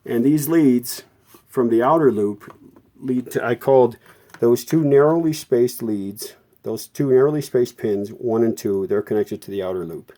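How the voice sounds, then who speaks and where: A man hosting an informal repair tutorial, explaining technical details off the cuff in a halting way and often correcting himself. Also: medium pitch, dark tone. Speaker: host of a vintage radio repair tutorial. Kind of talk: unscripted hands-on technical explanation.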